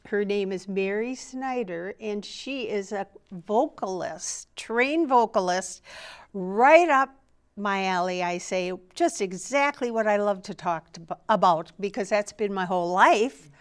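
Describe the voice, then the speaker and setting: A woman hosts an arts show, welcoming her guest with a warm, effusive manner. Her voice is smooth and clear, set at a middle pitch. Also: animated, a little slow. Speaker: arts reporter and host. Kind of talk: hosting an interview.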